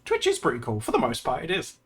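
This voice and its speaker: A man's voice: mid-range voice, huge pitch swings, fast, a bit bright, husky, faint music behind. A man chatting casually with his viewers during a live art stream, chatty and giggly, often laughing and restarting his sentences. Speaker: livestreamer. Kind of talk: casual livestream chat with viewers while drawing.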